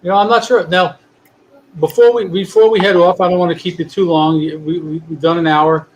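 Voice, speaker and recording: New guy hosting a chat show, rambly and easygoing, slightly high voice, faint hiss on the line. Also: brisk, rough recording. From New York. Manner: rambling, relaxed, many restarts. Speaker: podcast host. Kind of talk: casual chat. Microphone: video call.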